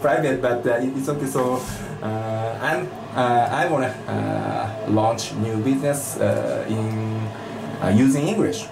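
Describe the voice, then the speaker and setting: A man with a Japanese accent, chatting casually in halting learner English and searching for words. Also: medium pitch, vocal fry, over music. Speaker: vlogger, English student. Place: small indoor lesson cubicle. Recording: echoey small room.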